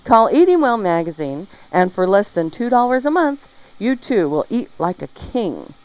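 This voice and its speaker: A woman's voice: mid-range voice, very animated, moderate pace, darkish tone. A woman hosting a talk show, reading health news and sponsor spots in a playful manner. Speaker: show host. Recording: dull lo-fi audio with music behind.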